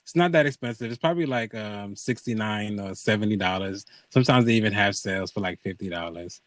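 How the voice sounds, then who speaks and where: A man's voice: on the low side, fairly quick, no room sound, clean studio sound. A man hosting a podcast, telling a personal story in casual conversation, acting out quoted dialogue and checking in with his listeners.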